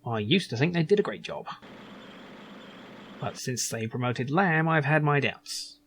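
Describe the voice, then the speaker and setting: A man's voice: mid-range voice, expressive, a little slow, a bit bright, slight background noise. A man voicing a proud, chatty factory supervisor in an adventure game, earnest and fussy about his job. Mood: matter-of-fact.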